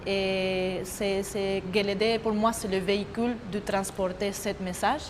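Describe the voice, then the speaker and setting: A woman from Argentina, her first language Spanish, speaking slowly and stopping often to find her words as she describes her dance work. Her voice sits at an ordinary pitch. The recording has a long hall reverb and a faint ambient hum underneath. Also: little pitch movement. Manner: halting, searching for words with many fillers. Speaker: choreographer. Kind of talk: interview testimony.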